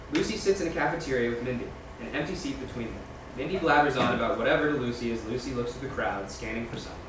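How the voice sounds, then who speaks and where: A man reading scene directions aloud as scripted narration at a screenplay table read. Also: medium pitch. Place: large echoey room, far from mic. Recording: echoey, noisy room from far off.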